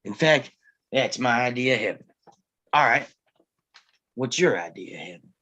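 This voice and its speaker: An actor playing a guarded, gruff Old West lawman in a table read, speaking tersely and grudgingly with some hesitation. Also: medium pitch, animated, normal speed, bright, forceful tone. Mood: exasperated, earnest. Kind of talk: live table read acting of a western screenplay.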